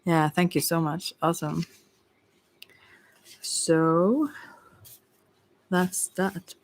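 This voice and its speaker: A tarot reader giving casual, gently encouraging spiritual advice in an ASMR livestream, rambling hesitantly and often trailing off mid-thought. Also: mid-range voice, fairly even intonation, drawn-out words, a little hiss or hum, low-quality recording.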